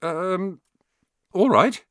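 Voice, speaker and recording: A man voicing a blunt, chatty eleven-year-old schoolboy with a quick offhand manner. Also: high-pitched, animated, a bit bright, no room sound, studio quality, voice pushed up, heavily acted.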